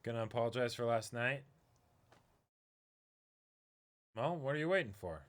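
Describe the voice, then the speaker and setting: A man's voice: medium pitch, expressive, fast, close to an everyday voice. A man reading the part of an easygoing, encouraging young man in a manga, laid-back and teasing.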